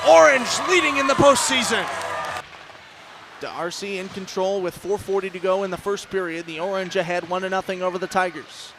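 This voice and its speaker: A man doing animated, projected play-by-play commentary on a live ice hockey broadcast. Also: high-pitched, moderate pace, over music. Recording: loud crowd and arena noise behind. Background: ice rink crowd.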